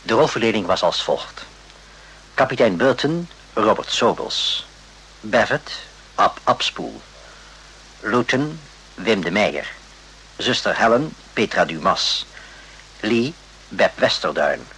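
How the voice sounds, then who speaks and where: A man's voice: lowish voice, sing-song, slow, pauses often, fairly bright tone. A man reading formal scripted credits as a radio drama announcer. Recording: slightly noisy old radio recording.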